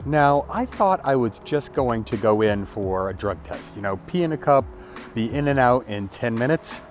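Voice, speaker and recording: A man, congested and clearing his throat, chatting casually in a daily vlog and apologizing often. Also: mid-range voice, expressive, moderate pace, soft background music. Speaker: vlogger.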